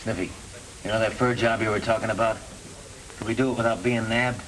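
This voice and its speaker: An actor playing an earnest, determined young parolee, direct and clipped, with an American accent in 1930s film style. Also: male voice, lowish voice, steady tone, fairly quick, a bit bright, natural voice. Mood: coaxing. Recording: noisy old film soundtrack, clicks.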